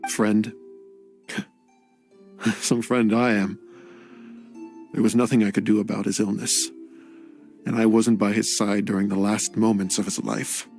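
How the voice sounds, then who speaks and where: An actor playing a gentle male scholar and game creator in a game cutscene, soft-spoken and reflective. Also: fairly low pitch, level tone, unhurried, pauses often, faint music behind. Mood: weary.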